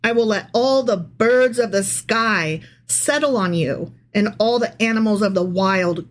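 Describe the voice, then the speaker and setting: A woman's voice: mid-range voice, measured pace, slight background noise. A woman co-hosting a casual podcast, talking bluntly and emphatically and swearing freely. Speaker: podcast co-host. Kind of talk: casual, profane podcast banter and Bible read-aloud with commentary.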